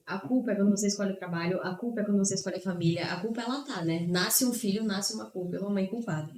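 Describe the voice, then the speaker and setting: A woman's voice: medium pitch, very fast. Chatty woman podcast host. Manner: chatty, lively, emphatic. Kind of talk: casual podcast chat.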